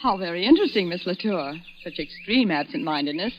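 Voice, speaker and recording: A woman playing a sharp-tongued, anxious young woman in old-time radio acting. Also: fairly low pitch, animated, fairly quick, close to an everyday voice. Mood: icy sarcasm. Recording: muffled old radio recording.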